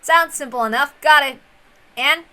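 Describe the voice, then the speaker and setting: Woman as a curt, defiant young man, bright-toned. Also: high-pitched, moderate pace, a little hiss or hum, pitched above the performer's own voice. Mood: grudging. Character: young man, stubborn and wary opponent in a mystery game.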